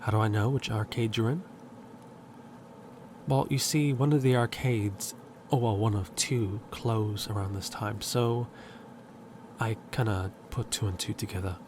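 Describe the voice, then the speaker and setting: A man's voice: on the low side, steady tone, unhurried, long pauses, noisy background, lightly acted. A man playing a confident, self-centered handyman in an audio roleplay, teasing and cocky. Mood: businesslike. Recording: music bed underneath.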